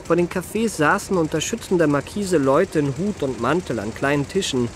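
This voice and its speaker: An actor narrating a German radio play as an urbane confidence trickster recalling his youth, in measured, ornate, wryly genteel phrases. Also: on the high side, a little hiss or hum, close to an everyday voice. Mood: wry, composed.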